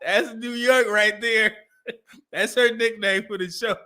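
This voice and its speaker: A man's voice: high-pitched, fairly even intonation, measured pace, sharp tone. A man doing casual reaction commentary on a stream, laughing often and repeating lines he just heard.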